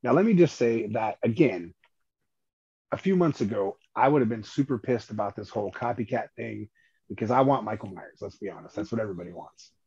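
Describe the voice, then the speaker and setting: A man's voice: fairly low pitch, expressive, a little fast, darkish tone, husky, highs cut off. A man co-hosting a horror podcast, chatting casually with many stammered restarts and casual swearing. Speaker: podcast co-host. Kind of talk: casual horror movie chat.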